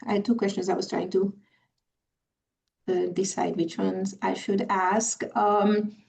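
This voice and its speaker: Gravelly Hungarian-accented woman moderator. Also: mid-range voice, little pitch movement, unhurried, a little mellow, recorded from a distance. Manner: apologetic, hesitant, many fillers. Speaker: conference moderator. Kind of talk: moderating a virtual conference. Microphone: video call.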